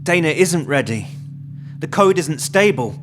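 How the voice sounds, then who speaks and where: A man's voice: a little high, expressive, measured pace, a little hiss or hum, pitched above the performer's own voice. A man voicing an anxious, stubborn young man in the middle of a heist, urgent and pleading. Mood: doubting. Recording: music bed under the voice.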